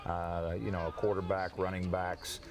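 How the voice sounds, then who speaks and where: A man with a very low voice answers questions as a football coach in a live TV interview. He speaks in a measured, halting way, working out each point as he goes. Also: flat delivery. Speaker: college football head coach, interview guest.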